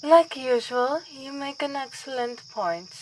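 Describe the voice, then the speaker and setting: Vampire princess, played by a woman: slow, courtly, playful menace, ASMR drama, faint night insects behind. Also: sits high, expressive, slight background noise, poor audio. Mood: admiring, scheming. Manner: theatrical, formal, courtly phrasing. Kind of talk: ASMR roleplay acting.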